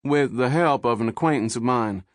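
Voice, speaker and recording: A man voicing a refined male agent who speaks in a measured, formal way. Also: mid-range voice, no echo, clean studio sound, pitched above the performer's own voice, natural voice. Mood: calm.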